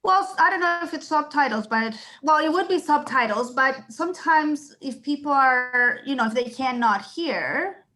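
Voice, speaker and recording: A woman's voice: high-pitched, animated, moderate pace, few pauses, pushed and bright. Woman thinking aloud on a call. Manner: thinks aloud with many restarts and asides. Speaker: client at a school. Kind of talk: video call meeting. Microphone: video call.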